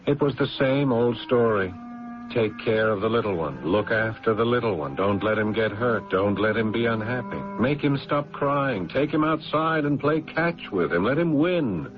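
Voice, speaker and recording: An actor playing a dutiful, restrained elder brother who narrates his own story in a radio drama, with measured, polite speech. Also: medium pitch, animated, lightly acted. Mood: weary, brooding. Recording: dull lo-fi radio sound, music behind.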